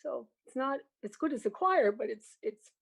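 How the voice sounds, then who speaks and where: A woman's voice: high-pitched, animated, moderate pace, vocal fry, husky. An older woman, talking in an encouraging, plain-spoken way as an interview guest about her work. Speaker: music teacher, interview guest. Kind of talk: interview conversation.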